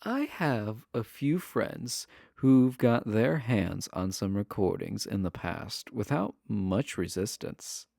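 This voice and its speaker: A man's voice: lowish voice, animated, takes it slowly, creaky, few pauses, a little mellow, no room sound, clean studio sound, full character voice. A man playing a charming, sly villain prisoner in an intimate roleplay audio, talking in a coy, flirtatious, casual way. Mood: sly, playful.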